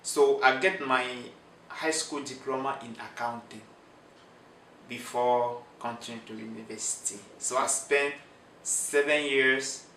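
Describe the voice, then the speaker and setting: A young man from Cameroon with a Cameroonian French accent, answering interview questions in a slow, halting way with many pauses and restarts. French is his first language. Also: mid-range voice, fairly even intonation, a bit bright, roomy sound, far from the mic, a little hiss or hum, low-quality recording.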